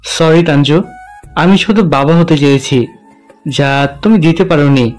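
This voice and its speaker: A man's voice: on the high side, unhurried, darkish tone, soft background music, natural voice. A man playing an apologetic, unfaithful young husband in an audio drama, subdued and guilty. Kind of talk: dramatised audio story dialogue.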